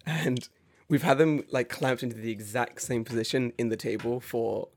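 A young man co-hosting a casual podcast, his voice raspy and hoarse, laughing often as he chats. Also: mid-range voice, normal speed, slight background noise. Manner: laughs often, mock voices when joking. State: hoarse from karaoke. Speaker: podcast co-host. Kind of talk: casual chat.

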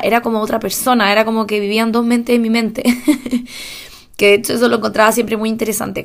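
A woman's voice: a little high, creaky, a bit bright. A young woman from Chile with a Chilean Spanish accent, talking casually on her own podcast, chatty with fillers and small laughs. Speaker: podcast host.

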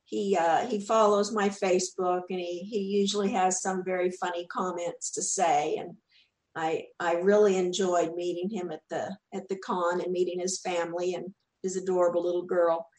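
A woman's voice: lowish voice, level tone, normal speed. Southern-accented woman actress in roomy sound. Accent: Southern US, Texan. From Texas. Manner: chatty, warm, repeats words when starting. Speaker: actress, interview guest. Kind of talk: casual interview chat.

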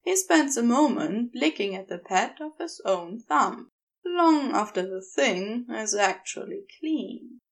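A woman reading a piece of fiction in a measured, deliberate, intimate storytelling style. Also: on the high side, animated. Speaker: amateur audiobook narrator.

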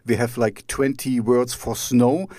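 A man from East Germany hosts an interview in English with a clear German accent. He circles his questions with fillers and false starts. His voice sits close and dry with no room echo, at an ordinary pitch for a man. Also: steady tone, warm tone. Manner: rambling, halting questions full of restarts and fillers. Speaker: podcast host. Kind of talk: conversational interview.

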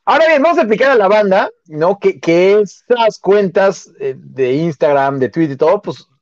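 A man with a Mexican accent co-hosting a live stream, chatting casually in a jokey, halting, conversational way on a lo-fi mic. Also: high voice, animated, dry recording. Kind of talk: casual chat.

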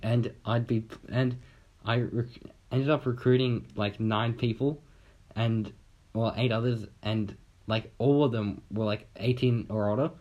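A man's voice: medium pitch, steady tone, unhurried, muffled recording. A young man from Australia telling a long, rambling story in casual chat on a podcast, swearing casually and acting out what people said.

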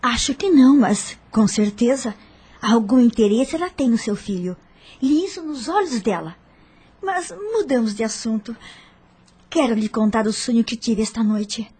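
An actress playing an earnest, anxious, curious woman with a breathy, husky voice in a radio soap opera. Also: a little high, moderate pace, a little mellow. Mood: confiding.

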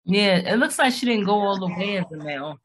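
A woman's voice: mid-range voice, expressive, fairly quick, a little hiss or hum. A woman co-hosting a reaction show who gives her opinions in a blunt, crude, emphatic way. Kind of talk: casual chat reacting to a video.

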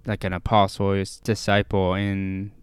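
Man, deep clear voice, slow hesitant talk, lots of uhs, dry close sound. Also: monotone, dry recording. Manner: slow, hesitant, many fillers. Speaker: podcast co-host. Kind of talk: casual scripture discussion.

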